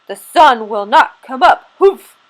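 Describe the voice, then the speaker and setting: A woman voicing a huge, grumpy male bear who growls his words loudly and threateningly. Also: medium pitch, a little slow, lightly acted, rough recording. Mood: menacing.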